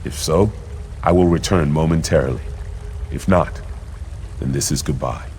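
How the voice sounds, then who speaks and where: A grave, deep-voiced prince. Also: male voice, moderate pace, darkish tone, slight background noise. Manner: solemn, formal speech. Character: dutiful adult prince, grave and self-sacrificing. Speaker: game character.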